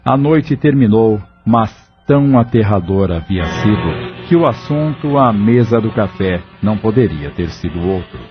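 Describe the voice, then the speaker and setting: A man's voice: on the low side, animated, unhurried, few pauses, faint music behind. A man reading scripted narration for a dramatised audio novel. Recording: dull lo-fi audio novel recording.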